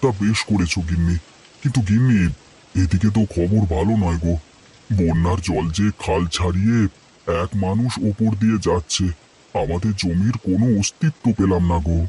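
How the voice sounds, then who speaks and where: A man's voice: sits low, normal speed, dark tone, slight background noise, close to an everyday voice. A man playing a poor, fretful but good-natured farmer husband in a children's cartoon, folksy and exclamatory. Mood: worried.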